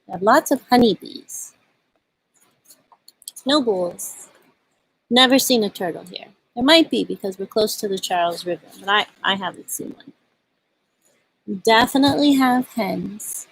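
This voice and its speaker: A woman with a husky voice hosting a storytime for young children, speaking gently and in simple phrases as she reads and chats outdoors. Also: mid-range voice, animated, unhurried, pauses often, slight background noise, rough recording. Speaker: children's storytime host at a community farm. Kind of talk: storybook read-aloud and casual chat to children. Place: outdoors at a farm.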